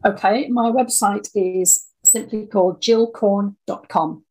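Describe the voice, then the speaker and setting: A woman's voice: mid-range voice, fairly even intonation, takes it slowly, a little mellow, husky, rough recording. A woman answering interview questions in a conversational way, as a guest writer. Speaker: interview guest, audio dramatist.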